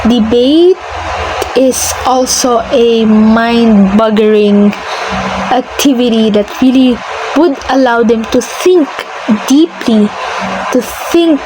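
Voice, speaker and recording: A woman whose first language is Filipino gives a classroom slide presentation. She speaks slowly and carefully, often repeating and correcting herself. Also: a little high. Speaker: student presenter. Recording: noisy with traffic behind.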